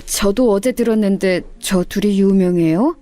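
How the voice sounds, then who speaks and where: Lady doing a worried grandpa, polite n hesitant, kinda subdued, radio story reading with soft music. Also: mid-range voice, normal speed, natural voice. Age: older.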